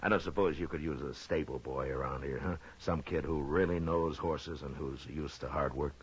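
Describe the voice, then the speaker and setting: An actor playing a calm, fatherly frontier marshal who speaks in a measured, gently hesitant way, in old western radio drama style. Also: rumbling low. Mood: gentle, reassuring. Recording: muffled old radio recording with hiss.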